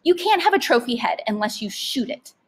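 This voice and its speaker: A woman's voice: a little high, big pitch swings, a little fast, slightly rough. A woman voicing a sensible, teasing mother in a story, with a wry, firm manner.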